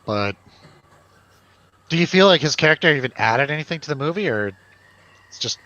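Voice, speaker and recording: A man's voice: a little high, animated, moderate pace, pushed and bright, no echo, highs cut off. A man hosting a casual film chat, joking around, hesitating and trailing off. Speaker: host of a film review show.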